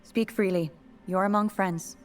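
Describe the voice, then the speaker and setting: A woman playing a composed, authoritative resistance leader in a video game, firm and measured. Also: medium pitch, fairly even intonation, faint music behind, close to an everyday voice. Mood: calm authority.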